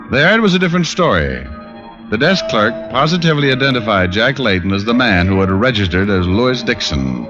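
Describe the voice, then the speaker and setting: Deep, wisecracking private eye. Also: male voice. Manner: wry, breezy, sarcastic. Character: wisecracking, tough, sardonic male private detective. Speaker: lead detective and narrator. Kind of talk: 1940s hardboiled radio acting. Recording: dull old radio recording with music.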